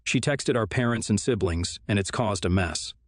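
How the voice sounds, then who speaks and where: Male narrator with a lowish voice, recorded dry and close; reads forum posts steadily, evenly paced. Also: moderate pace, dry recording. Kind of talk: reading online forum posts aloud.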